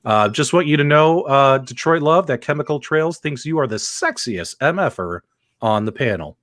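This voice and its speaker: A man's voice: fairly high pitch, animated, normal speed, a bit bright, no room sound, clean studio sound. A man hosting a casual live talk show about video game movies, chatty and laughing, with frequent fillers. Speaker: host. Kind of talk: casual livestream chat.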